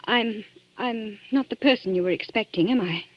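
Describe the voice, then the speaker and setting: A woman's voice: fairly high pitch, moderate pace, no room sound, close to an everyday voice. An actress playing a secretive, desperate young lady in a 1940s radio drama, speaking in a genteel, hesitant, urgent way. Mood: nervous, pleading. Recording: muffled dry vintage 1940s radio broadcast.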